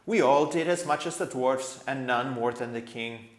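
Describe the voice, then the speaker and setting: A man's voice: medium pitch, moderate pace, roomy sound, voice pushed up, close to an everyday voice. A man voicing a loyal talking badger in a storybook, earnest, firm and reproving. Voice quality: thick voice.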